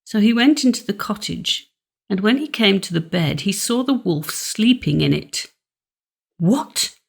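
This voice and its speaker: A woman narrating a fairy tale audiobook in a measured, expressive storytelling manner. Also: mid-range voice, creaky.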